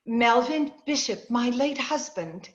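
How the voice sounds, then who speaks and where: A woman playing an excitable, credulous widow with melodramatic delivery in an old-time radio drama, on muffled call audio. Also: on the high side, slow pace. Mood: earnest. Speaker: amateur radio drama player.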